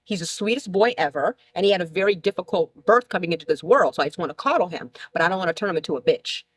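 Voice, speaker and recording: A woman's voice: on the low side, lively intonation, quick pace, breathy edge. Brash laughing woman in her thirties. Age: 30s. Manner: brash, laughing, blunt storytelling. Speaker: talk show guest. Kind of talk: candid casual chat.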